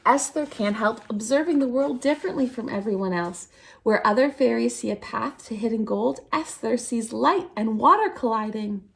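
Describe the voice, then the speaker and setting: A woman reading a children's picture book aloud as a storytime host, expressively, with theatrical, drawn-out emphasis on key words. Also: fairly high pitch, vocal fry. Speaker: storytime reader at a dance centre.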